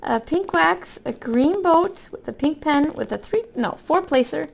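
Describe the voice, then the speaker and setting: A woman with a hoarse voice from being sick, chatting casually in a folksy, rambling way as a craft vlogger. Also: sits high, expressive, unhurried. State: hoarse from illness with a cough. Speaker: craft vlog host. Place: indoor room at a table.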